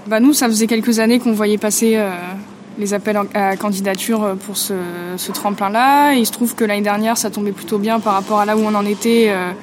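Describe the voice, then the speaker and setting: Woman, mid-pitched voice, chatty, lots of fillers, light ambient noise. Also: steady tone, a little fast. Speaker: musician in a duo. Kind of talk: casual interview answers.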